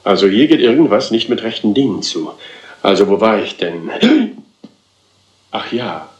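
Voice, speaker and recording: An actor playing a self-important, theatrical and impatient theatre director in a crime radio play. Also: male voice, fairly low pitch, expressive, moderate pace, darkish tone, lo-fi sound, natural voice. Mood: impatient, dramatic. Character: flamboyant, impatient theatre director rehearsing his own play. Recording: slightly roomy radio drama sound.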